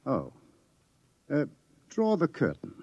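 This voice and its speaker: A man's voice: fairly high pitch, huge pitch swings, slow, vocal fry, dark tone, husky, no room sound, dull audio, full character voice. A man playing a wry, scrawny scientist in a 1950s radio drama, explaining things in a musing, slightly pedantic way. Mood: grave, musing. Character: scrawny, wry male scientist, calmly predicting doom.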